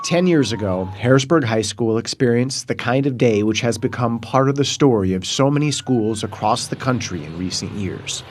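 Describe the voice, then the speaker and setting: Measured news voice-over. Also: male voice, mid-range voice, expressive, a little hiss or hum. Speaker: news reporter.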